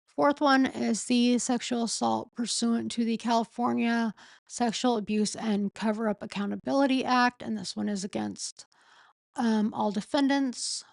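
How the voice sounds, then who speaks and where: A woman losing her voice reads slowly through a legal document, pausing and correcting herself as she goes. Her worn throat gives the voice a gravelly fry. The sound is close and dry, with no room around it. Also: on the high side, steady tone, no room sound. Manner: slow, halting reading with pauses and self-corrections. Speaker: streamer reading a court filing. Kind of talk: casual late-night live stream.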